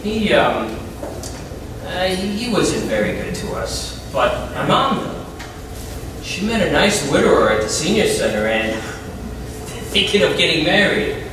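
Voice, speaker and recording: Far-off echoey stage, male actor playing earnest reformed ex-convict, high-pitched, emphatic, halting, excitable. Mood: earnest, reflective. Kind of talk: community theatre stage acting. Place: indoor theatre stage, mic far off. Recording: distant, very echoey stage recording with clear noise.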